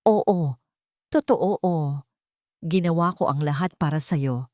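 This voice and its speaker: A woman's voice: deep voice, takes it slowly, darkish tone, no room sound, studio quality. A woman voicing a possessive older woman in a melodramatic story, anguished and accusing as she confesses. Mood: desperate, bitter.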